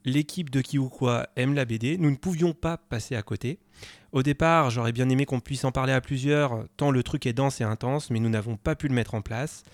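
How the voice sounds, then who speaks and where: A man's voice: mid-range voice, brisk, no echo. Guy hosting a book show on radio, dry studio sound, reads his intro w/ little ums. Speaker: radio host.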